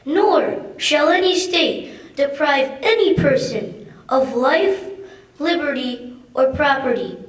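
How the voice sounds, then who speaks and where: Slow, very high young female reader. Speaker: student reader. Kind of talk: formal reading aloud of a document. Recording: echoey hall, distant mic.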